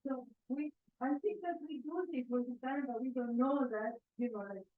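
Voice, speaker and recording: A woman's voice: high voice, moderate pace. A woman from the audience making a long, earnest, rambling comment. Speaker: audience member asking a question. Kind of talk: impassioned spontaneous comment. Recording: tinny phone-like sound.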